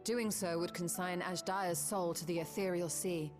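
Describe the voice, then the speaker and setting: An actress voicing a wise, composed sorceress in a video game, speaking in a measured, formal and assured way. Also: female voice, fairly low pitch, steady tone, over music, close to an everyday voice.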